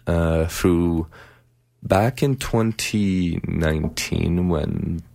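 A young man with a very deep, low voice hosts a student radio show, talking in a loose, easygoing way full of "uh"s and restarts. The sound is close and dry, with no room around it. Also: drawn-out words, gravelly, dry recording. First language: Farsi. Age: young adult. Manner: relaxed, hesitant with many "uh"s, friendly. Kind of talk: casual chat.

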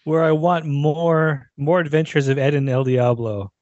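Higher-voiced man in casual podcast chat. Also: steady tone, measured pace, a little mellow, dry recording, dull audio. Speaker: podcast co-host.

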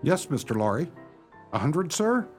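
A man's voice: a little high, very animated, normal speed, faint music behind. A man playing an eager, deferential nightclub waiter in a 1930s pulp radio play. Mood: obliging.

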